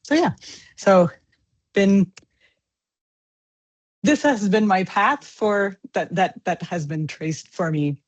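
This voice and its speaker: A woman's voice: mid-range voice, slow pace, long pauses. A woman from Quebec introducing herself casually over a video call, with loose phrasing and fillers. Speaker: counseling student. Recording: dull lo-fi video call audio.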